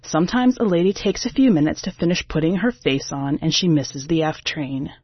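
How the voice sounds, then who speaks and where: A woman's voice: sits low, fairly quick, husky, no room sound, dull audio. A woman narrating a short story as a brash, defensive, sarcastic female singer, in a wry, exasperated, conversational way. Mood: sardonic, confiding.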